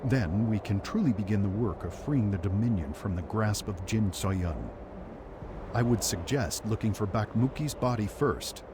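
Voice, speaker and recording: An actor voicing a dignified older deposed ruler in a game, speaking in a measured, formal way. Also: male voice, fairly low pitch, natural voice. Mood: grave. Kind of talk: game cutscene voice acting. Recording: game audio with clear ambient noise.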